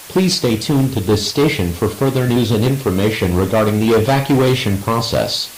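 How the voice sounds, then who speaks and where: Emergency alert announcer, male actor, impersonal and formal, low register, echoey recording for an outbreak drama. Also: natural voice. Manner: formal, measured. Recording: echoey, hissy emergency broadcast.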